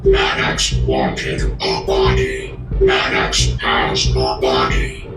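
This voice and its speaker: An actor playing a menacing giant possessed machine in a sci-fi audio drama, declaiming slowly through a machine voice effect. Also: monotone, echoey room, over music, heavily acted. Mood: menacing triumph. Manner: slow, declaiming, refers to itself by name. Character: menacing giant mech possessed by rogue mind Maddox. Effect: heavily pitched-down machine voice processing.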